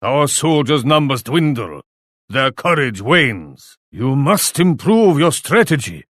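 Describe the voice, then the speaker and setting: A man's voice: mid-range voice, sing-song, takes it slowly, a bit bright, no echo, studio quality, exaggerated voice. An actor playing a proud, grim Japanese warlord in a video game, solemn and declamatory as he addresses troops and allies. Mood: despairing, solemn. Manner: solemn, declamatory, speaks of himself in third person. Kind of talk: theatrical video game voice lines.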